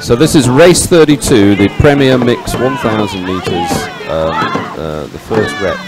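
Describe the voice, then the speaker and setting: A man's voice: on the low side, measured pace, over music, crowd noise, rough recording. A man anchoring live, unscripted race commentary in a conversational, tentative way. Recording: clear outdoor background noise.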